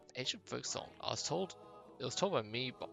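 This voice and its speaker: A man's voice: medium pitch, expressive, fast, vocal fry, sharp tone, faint music behind. A man voicing a protective, superstitious islander in a fantasy game, speaking with solemn emphasis. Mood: grave storytelling. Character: protective, superstitious islander guarding ancestral statues. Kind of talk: amateur game dialogue voicing.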